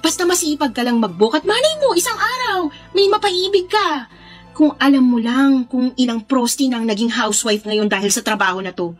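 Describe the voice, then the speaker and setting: A woman's voice: sits high, animated, moderate pace, soft background music. A woman playing a brazen, persuasive young friend in a Filipino radio drama, with a slangy, cajoling delivery. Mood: persuasive, teasing.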